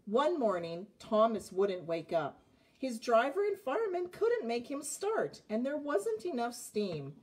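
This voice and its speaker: A woman in her forties reads a children's book aloud. Her voice sits on the high side and swings widely in pitch, with a husky edge. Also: a little slow. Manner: expressive, clearly phrased narration with asides about the pictures. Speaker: storybook reader. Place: indoors, child's playroom.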